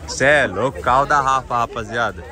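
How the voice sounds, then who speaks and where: A man's voice: medium pitch, a little fast. A young man with a São Paulo accent chatting in hyped, slangy style for a casual outdoor vlog. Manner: excitable, slangy. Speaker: kite channel vlogger. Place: outdoors at a crowded kite festival. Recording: outdoor crowd noise around the voice.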